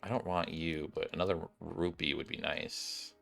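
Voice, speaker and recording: A man's voice: low voice, steady tone, normal speed, gravelly, a bit bright. A man hosting a gaming video, giving casual, chatty commentary addressed straight to his viewers. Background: game music.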